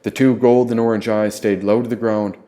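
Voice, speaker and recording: A man's voice: fairly low pitch, level tone, fairly quick, mellow. A man reading an audiobook as a dramatic first-person story narration. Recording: echoey, long reverb tail.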